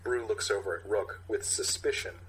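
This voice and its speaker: A man's voice: lowish voice, steady tone, moderate pace, a bit bright, slight background noise, poor audio. A man co-hosting a podcast, chatting casually and reading out script directions. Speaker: podcast co-host.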